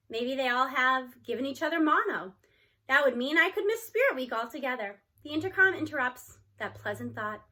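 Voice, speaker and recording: A woman's voice: sits high, pushed and bright. A woman narrating a children's novel aloud as a scripted story reading.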